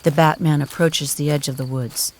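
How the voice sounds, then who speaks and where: Low-voiced woman narrating solemnly. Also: steady tone, normal speed, noisy background. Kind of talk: scripted audio drama narration.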